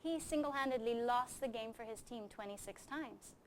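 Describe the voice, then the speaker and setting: Middle-aged woman, fairly high voice, life coach answering interview questions, earnest and emphatic, some background noise. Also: normal speed. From grew up in Japan. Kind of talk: conversational interview answers with anecdotes.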